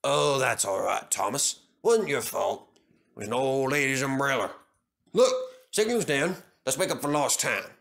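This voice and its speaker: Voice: medium pitch, animated, normal speed, gravelly, a bit bright. Man playing an easygoing, kindly railway conductor. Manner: reassuring, informal.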